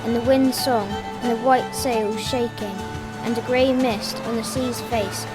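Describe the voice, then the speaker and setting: A woman recites verse in a promotional film. Her voice is fairly high. Music plays strongly around her words. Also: fairly even intonation, a little slow, low-quality recording. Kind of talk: poetry recitation. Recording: loud music over the voice.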